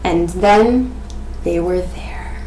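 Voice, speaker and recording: A woman in her twenties presenting like a teacher, expressive and questioning, as in an interactive read-aloud. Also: lowish voice, very slow. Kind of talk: interactive picture-book reading and explanation for children. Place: small domestic room indoors. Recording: echoey room, faint background noise.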